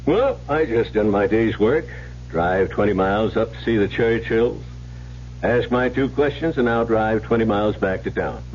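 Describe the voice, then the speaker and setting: Folksy country sheriff played by a male actor, lowish lively voice, plainspoken and amiable, dull hissy vintage radio sound. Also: normal speed, lightly acted. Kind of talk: old-time radio drama acting. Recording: dull, noisy old radio recording.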